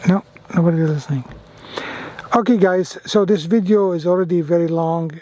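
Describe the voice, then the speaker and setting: A man with a high-pitched voice chats casually to his viewers as a radio hobbyist. He is enthusiastic about his gear and tends to repeat his phrases as he demonstrates it. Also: moderate pace, dark tone, slight background noise, low-quality recording. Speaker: amateur radio vlogger. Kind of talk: casual product demo and radio operating.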